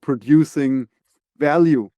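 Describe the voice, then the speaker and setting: Male podcast host; resonant, mellow voice, dry close mic, measured advising tone. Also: on the high side, no echo, highs cut off. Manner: earnest, advising, measured with fillers. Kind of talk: casual interview conversation.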